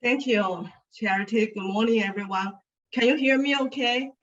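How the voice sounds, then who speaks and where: A woman's voice: fairly high pitch, moderate pace. Chatty woman in her fifties on a call. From Sichuan, China. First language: Chinese. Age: 50s. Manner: chatty, with many fillers and restarts. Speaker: guest speaker. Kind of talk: casual talk with slides. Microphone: video call. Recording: dull, distant video call audio.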